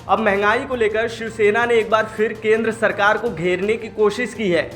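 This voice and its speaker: A man's voice: high voice, fairly even intonation, normal speed, fairly bright tone. A man reading scripted news narration as a news presenter. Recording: music behind the voice. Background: background music.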